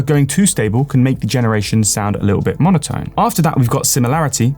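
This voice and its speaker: A man presenting an instructional software walkthrough, explaining step by step and stressing the openings of his phrases. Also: mid-range voice. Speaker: tutorial presenter.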